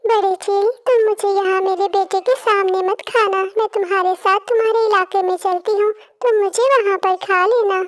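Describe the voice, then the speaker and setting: A woman's voice: very high voice, fairly even intonation, moderate pace, hardly any pauses, no room sound, studio quality. A woman voicing small birds, a devoted mother and her little chick, in a children's cartoon. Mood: pleading. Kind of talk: Hindi children's cartoon voice acting.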